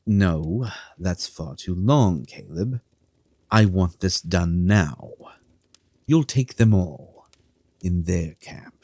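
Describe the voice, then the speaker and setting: A man's voice: low voice, animated, slow, a little mellow, no room sound, full character voice. A man playing a haughty, dismissive king in a fantasy audio drama, imperious and contemptuous. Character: haughty, dismissive king giving orders to subjects.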